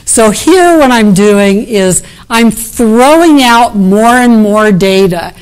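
Slow-talking woman giving a conference keynote. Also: a little high, lively intonation, smooth, poor audio. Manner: unscripted, restarts sentences, thinks aloud over slides. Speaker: keynote speaker. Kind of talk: academic conference talk.

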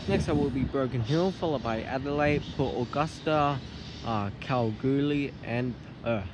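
A man's voice: medium pitch, a little slow. A man vlogging as a trainspotter, chatting casually by the tracks and calling out train numbers. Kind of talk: casual trainspotting vlog narration. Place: outdoors by railway tracks and station platform. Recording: street and train noise behind.

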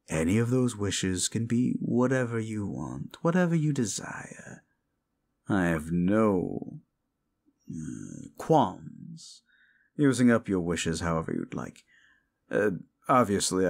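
A man as a low-voiced, condescending demon. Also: vocal fry, full character voice. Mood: haughty, disgusted. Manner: condescending, mocking, drawling exasperation. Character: condescending male demon, fallen angel, sardonic and smug. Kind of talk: intimate roleplay audio drama monologue.

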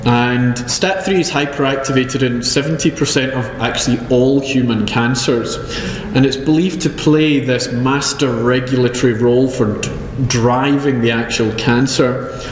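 A man's voice: medium pitch, steady tone, moderate pace. A Scottish man delivers a science lecture in a mid-range voice, dropping in dry jokes at his own expense between frequent ums. His talk rings through a roomy hall with a long echo, and a faint hum of noise sits underneath. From Scotland. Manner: dry self-deprecating humor, many ums. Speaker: chemistry professor. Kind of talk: conference talk.

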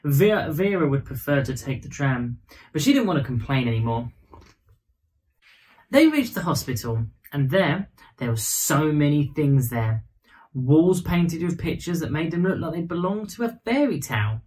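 A man's voice: on the high side, big pitch swings, moderate pace, darkish tone, rough recording. A man in his thirties reading a children's bedtime story expressively. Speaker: storybook reader.

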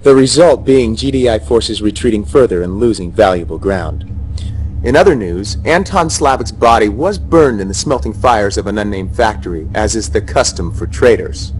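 Man as a male state news anchor, smooth, animated newsreader. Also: on the low side, normal speed, lightly acted, rough recording. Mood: newsy. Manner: smooth, lively broadcast delivery. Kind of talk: newscast acting.

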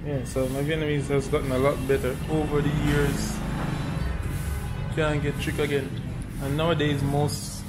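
A young man vlogs into a close handheld camera, his voice sitting a bit higher than most men's. He talks in a loose, joking, chatty way. Loud music plays behind him over the street traffic. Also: level tone, normal speed. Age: young adult. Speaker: travel vlogger. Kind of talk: casual vlog talk to camera. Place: outdoors on a city street and riding a scooter in traffic. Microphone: handheld action camera close to the face.

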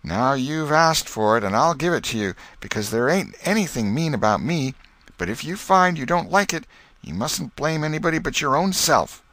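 A man's voice: on the high side, big pitch swings, moderate pace, fairly bright tone, no echo, voice pushed up. A man voicing a mischievous young boy in a storybook, with a rustic American accent and playful mock innocence. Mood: mock solemn. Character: mischievous, cheeky young boy with folksy speech.

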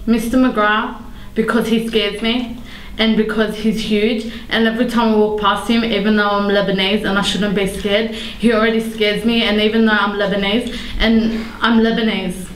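A woman's voice: mid-range voice, level tone, normal speed. A late-teen schoolgirl answering playfully and giggly into a handheld mic indoors. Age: late teens. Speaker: student interviewee. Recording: echoey room, faint noise.

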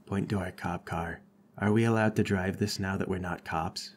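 Man playing a deep-voiced grumpy cop. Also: steady tone, normal speed. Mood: dry. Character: grumpy, contrary, complaining male cop. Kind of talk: improvised comedic roleplay.

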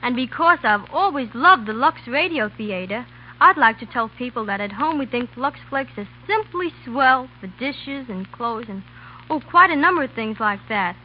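A woman's voice: a little high, expressive, normal speed. A woman, a guest speaking scripted lines in a 1930s radio interview. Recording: muffled 1930s radio recording with hum.

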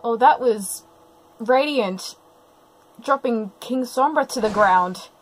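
A woman giving spontaneous reaction commentary, excitable and full of exclamations. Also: fairly high pitch, slow pace, soft background music, rough recording.

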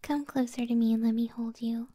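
A doting, possessive monster moth girlfriend murmurs in an intimate ASMR roleplay, acted out by a woman. Her whisper is very mellow and full of breath, sweet and cooing. It sits close to the microphone in a dry, echoless space. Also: fairly high pitch, steady tone, fairly quick, natural voice. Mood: soothing.

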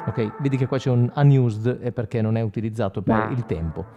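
A man's voice: mid-range voice, a little fast. A man giving a casual, spontaneous product tutorial as a guitarist, in broken, informal phrases. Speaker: guitarist demonstrating an effects pedal. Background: electric guitar with effects.

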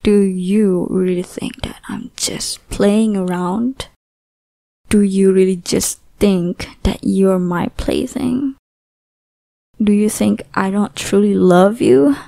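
A young woman voicing an obsessive, possessive lover in an ASMR roleplay, whispering softly with teasing menace. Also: medium pitch, slow, no room sound, studio quality. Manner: soft breathy whispering, teasing and threatening.

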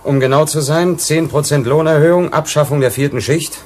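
An actor as a quick-talking male strike leader. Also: on the high side, a little mellow, natural voice. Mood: firm. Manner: insistent, businesslike. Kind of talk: German radio play acting.

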